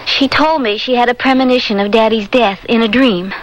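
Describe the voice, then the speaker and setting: An actress playing an anxious wife haunted by fears of death, speaking haltingly in a radio drama. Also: female voice, fairly high pitch, dull audio, slight background noise, natural voice. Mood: defensive, anxious. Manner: fearful, halting. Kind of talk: radio drama acting with first-person narration. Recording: old radio recording with loud music.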